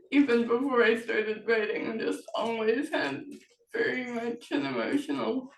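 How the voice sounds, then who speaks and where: Woman, casual conversational author, higher voice, slow halting delivery, trails off; roomy, distant recording. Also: fairly even intonation, vocal fry. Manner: halting, trailing off mid-thought. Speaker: author and interview host. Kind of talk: casual chat. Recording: echoey room, distant from mic.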